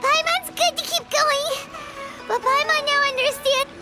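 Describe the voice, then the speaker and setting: A woman voicing a tiny childlike fairy companion in a video game, chirpy and cheeky with a squeaky voice. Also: steady tone, measured pace, exaggerated voice. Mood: determined, weary. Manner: refers to self in third person. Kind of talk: anime-style video game voice acting. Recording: loud playful music under the voice.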